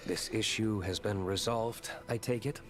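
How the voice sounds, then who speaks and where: A man's voice: on the low side, steady tone, a little slow, close to an everyday voice. A man playing a composed, businesslike investigator in a video game, formal and matter-of-fact. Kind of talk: dramatic video game voice acting. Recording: clear music behind voice.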